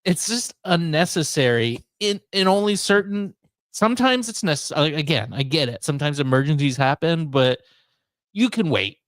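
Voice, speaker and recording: A man's voice: fairly high pitch, lively intonation, moderate pace, fairly bright tone, no echo. Rambling, joking man in dry sound. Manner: crude joking, rambling, incredulous. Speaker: radio show host. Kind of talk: casual comic chat.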